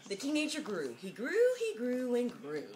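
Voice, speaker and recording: A woman's voice: on the high side, very animated, normal speed, darkish tone. A woman giving a mock-dramatic reading of a children's picture book, with jokey, sardonic, giggly asides, on an amateur home video.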